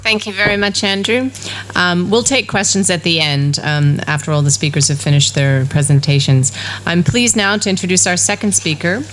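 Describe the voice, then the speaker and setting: A woman with a low voice chairs a university conference session. She reads a prepared introduction in a formal tone. Faint noise sits under the recording. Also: moderate pace, fairly bright tone, poor audio. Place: university lecture hall.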